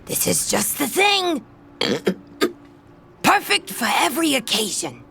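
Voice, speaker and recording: Creaky, squeaky, trigger-happy pig. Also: female voice, huge pitch swings, slow pace, exaggerated voice. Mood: gleeful menace. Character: gleeful, trigger-happy cartoonish storybook pig with artillery. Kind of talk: audio drama table read acting.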